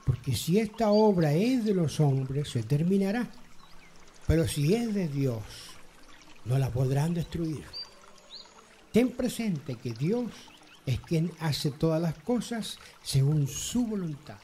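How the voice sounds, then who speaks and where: A man acting as a measured, fatherly elder rabbi giving cautious counsel in an amateur radio drama. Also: a little high, big pitch swings, warm tone, slight background noise. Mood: solemn, cautioning.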